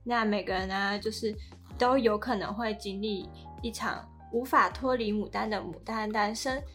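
A woman with a Taiwanese accent co-hosts a podcast in a conversational presenting style. Her pitch sits in the middle of the female range. Faint music plays behind her voice. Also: steady tone, moderate pace, a bit bright. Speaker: podcast co-host.

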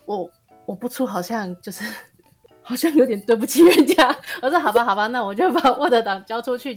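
Fast-talking woman, podcast guest. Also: medium pitch. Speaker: guest author. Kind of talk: casual anecdotal chat.

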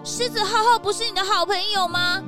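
Excitable, high-pitched child sidekick. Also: female voice, fairly quick, over loud music. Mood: dismayed. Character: eager young child detective sidekick, earnest and excitable. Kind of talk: children's audio drama acting.

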